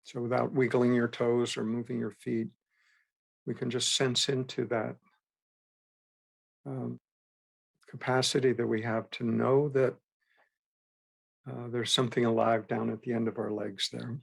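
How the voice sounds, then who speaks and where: A man guiding a meditation as a teacher, with gentle, unhurried instruction and frequent pauses. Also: mid-range voice, level tone, vocal fry, slightly rough. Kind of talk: guided meditation.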